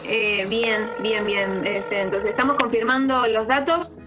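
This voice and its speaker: A woman playing a flustered radio host with a Buenos Aires accent, halting but trying to stay composed on air in a radio drama. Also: fairly high pitch, loud music behind the voice, natural voice. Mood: shaken, controlled. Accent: Buenos Aires Argentine. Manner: hesitant, halting, formal on-air phrasing.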